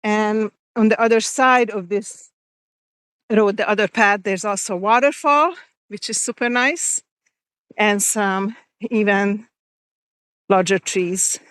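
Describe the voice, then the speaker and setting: A woman's voice: medium pitch, fairly bright tone, clean tone, no room sound. A woman chatting casually on a live video, walking outdoors in a forest and reading viewer comments as she goes. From Hungary. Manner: chatty, reads viewer comments aloud, pauses and restarts. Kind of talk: casual live-stream chat while walking.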